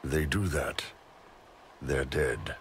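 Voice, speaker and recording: An actor playing a gruff, burly mercenary chief in a video game, blunt and protective in short soldierly phrases. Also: male voice, very deep voice, very slow, a bit bright, a little hiss or hum, heavily acted. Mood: grim. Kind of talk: video game cutscene acting.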